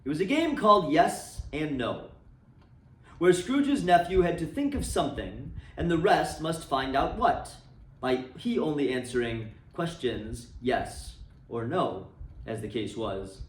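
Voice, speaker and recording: A man giving an expressive, dramatic storybook reading of a classic tale for a library, recorded in a roomy space from a distance. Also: on the high side, unhurried. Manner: expressive, swooping phrasing. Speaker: reader for a library.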